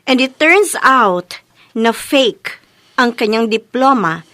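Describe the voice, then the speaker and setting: A woman's voice: fairly high pitch, animated, slow pace, sharp tone, dry recording, heavily acted. A woman acting a formal, efficient bank manager in an office drama, with a Filipino accent. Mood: concerned, serious. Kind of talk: acted office drama dialogue in Tagalog and English.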